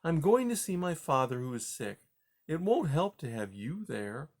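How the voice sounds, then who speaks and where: A man voicing a gentle young female mouse who speaks softly and earnestly. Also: a little high, very animated, moderate pace, pitched above the performer's own voice. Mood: pleading. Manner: soft, pleading.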